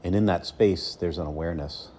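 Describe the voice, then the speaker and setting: A man leading a guided meditation as a coach, speaking slowly and soothingly with deliberate pauses between phrases. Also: deep voice, dark tone, husky.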